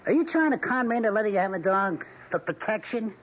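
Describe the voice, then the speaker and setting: A domineering American husband in his forties in an old radio play. He is blunt and impatient, with a husky, fairly high voice that swings widely. The recording is dull. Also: lively intonation, quick pace, a bit bright. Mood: irritated, scornful. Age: 40s. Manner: curt, dismissive, blunt. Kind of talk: vintage radio drama acting.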